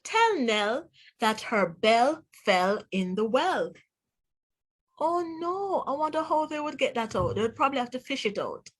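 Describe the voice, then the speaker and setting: A woman reading aloud to young children as a teacher, pronouncing words deliberately one by one and putting questions to the listener. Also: fairly high pitch, very animated. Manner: deliberate word-by-word enunciation, asks the listener questions. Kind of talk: read-aloud phonics lesson for children.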